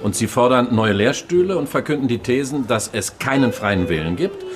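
An actor playing an opinionated, well-informed newspaper journalist arguing and explaining in a radio drama. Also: male voice, mid-range voice, animated, moderate pace, close to an everyday voice. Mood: opinionated, animated. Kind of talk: conversational radio drama acting.

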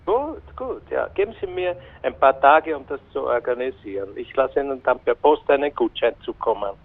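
An actor with an Austrian accent playing a slippery, condescending political aide through a telephone filter in a radio drama. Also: male voice, mid-range voice, animated, moderate pace, creaky. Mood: evasive, placating. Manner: hesitant stalling, officious. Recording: tinny narrow phone line.

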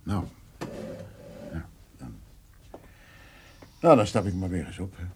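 Older farmer, low husky voice, slow halting delivery, awkward and remorseful, naturalistic radio drama. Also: male voice, sing-song, full character voice. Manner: hesitant, halting. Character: remorseful, awkward older male farmer visiting an old neighbour.

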